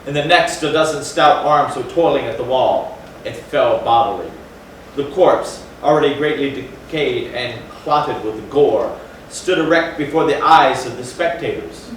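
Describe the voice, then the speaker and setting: A man's voice: medium pitch, unhurried, a bit bright, close to an everyday voice. A man playing a grim narrator in a grave, theatrical stage reading of Gothic horror. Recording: echoey hall, distant mic, faint noise.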